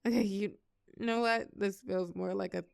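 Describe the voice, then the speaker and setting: A woman's voice: medium pitch, steady tone, normal speed, creaky, clear, resonant tone, no room sound, close to an everyday voice. A young woman playing a shy, tender college girl confiding in a close friend, hesitant yet playful, in an intimate audio roleplay. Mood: playful, incredulous. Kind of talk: intimate scripted audio roleplay, one side of a conversation.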